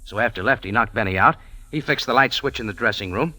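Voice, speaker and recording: A man's voice: on the low side, fast talker, pushed and bright, no room sound. A man playing a tough, wry cafe owner and amateur sleuth in an old radio drama, telling it in a clipped, dry, hard-boiled way. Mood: cool, explaining. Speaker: lead actor and first-person narrator in a radio drama. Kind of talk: 1940s-50s hard-boiled radio detective acting. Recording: old radio recording with music behind.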